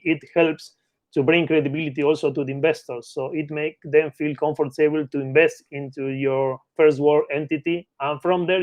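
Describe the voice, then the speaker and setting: Male interview guest, Argentine Spanish accent, slightly higher-pitched, close dry sound, conversational expert explaining with frequent check-in tags. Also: darkish tone, no echo, highs cut off. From Patagonia, Argentina. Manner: repeatedly checks in with short tag questions. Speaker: interview guest, mergers and acquisitions consultant.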